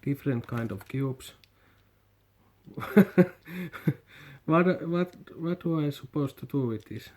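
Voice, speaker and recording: A Finnish man with a Finnish accent, whose first language is Finnish, hosting a casual toy unboxing in halting English and often repeating words as he searches for them. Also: mid-range voice, darkish tone. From Finland.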